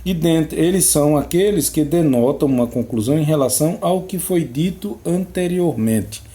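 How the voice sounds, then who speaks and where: A man's voice: on the high side, expressive, moderate pace, warm tone. A man teaching a grammar lesson over a screen recording, explaining patiently, repeating examples and checking in with his students. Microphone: phone or computer mic.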